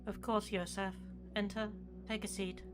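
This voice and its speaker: A woman playing a dutiful, formal starship prefect with a measured, official manner in a sci-fi audio drama. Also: medium pitch, level tone, over music, close to an everyday voice. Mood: composed, formal.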